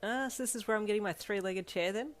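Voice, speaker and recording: A woman voicing a cheeky, casual young girlfriend in a story, with a deadpan, informal way of talking. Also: medium pitch, fast, soft background music, voice pushed up, lightly acted. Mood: teasing.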